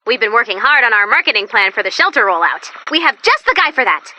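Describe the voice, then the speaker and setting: A woman's voice: fairly high pitch, big pitch swings, quick pace, sharp tone, highs cut off, full character voice. A woman voicing an upbeat, anxious, wisecracking female robot assistant in a video game. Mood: wry, chatty. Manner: perky, quippy, self-deprecating, dramatic. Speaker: mission guide character. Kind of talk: animated video game character dialogue.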